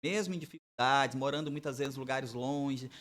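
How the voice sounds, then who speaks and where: A man's voice: mid-range voice, normal speed, fairly bright tone. Man in his forties telling his story. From Bahia, Brazil. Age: 40s. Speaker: pastor and missionary guest. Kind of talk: relaxed podcast interview, testimony.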